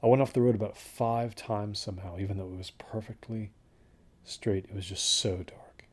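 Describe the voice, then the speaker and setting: A man plays a haunted hiker telling his story in an audio drama. The voice is low and husky, and he talks haltingly and introspectively, like a confession from someone slowly coming apart. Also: fairly even intonation. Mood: uneasy, haunted. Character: haunted, unravelling male desert hiker recounting his trek. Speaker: first-person narrator of a horror audio drama.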